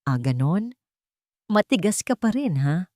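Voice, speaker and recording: A woman voicing a drunk, manipulative ex-boyfriend in an audio romance story, loud, desperate and demanding. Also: fairly low pitch, sing-song, unhurried, no room sound, studio quality. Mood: angry, demanding. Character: drunk, menacing, manipulative ex-boyfriend, begging then raging.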